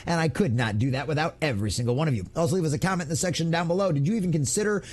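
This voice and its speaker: A man's voice: a little high, racing, a little mellow, breathy edge. A man hosting an online gaming news show, giving opinionated commentary in an emphatic, theatrical, mocking way.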